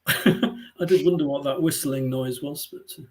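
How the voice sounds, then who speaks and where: Guy in a pun battle, kinda high voice, chuckles a lot, says uh a lot. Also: measured pace, darkish tone. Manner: amused, chuckling, hesitant with fillers. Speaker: pun contest competitor. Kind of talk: quick-fire pun banter.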